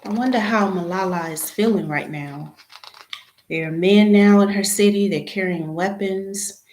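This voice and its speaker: A woman reading a children's picture book aloud, phrase by phrase, with expressive emphasis at the start of sentences. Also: mid-range voice, measured pace. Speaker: read-aloud storyteller. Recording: echoey room.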